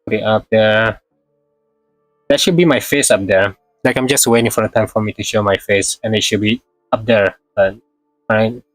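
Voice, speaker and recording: A man's voice: fairly low pitch, moderate pace, no echo. Rambling male game streamer over background music. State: slightly sore throat. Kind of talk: casual live gameplay commentary.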